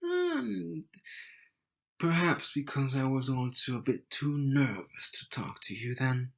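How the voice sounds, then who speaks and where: A man's voice: medium pitch, very animated, vocal fry, exaggerated voice. A man playing a cocky, wry and slightly bitter young man in an intimate audio roleplay, teasing and smug as he speaks to the listener. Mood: soft, reminiscing. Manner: slow, teasing, smug, with sighs and soft chuckles.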